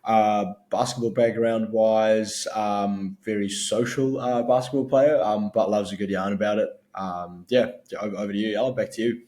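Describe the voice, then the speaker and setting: A young man with a New Zealand accent, co-hosting a casual chat in a halting, filler-heavy way. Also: fairly low pitch, fairly even intonation, dark tone. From born in Sri Lanka, grew up in New Zealand. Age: young adult. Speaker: podcast co-host.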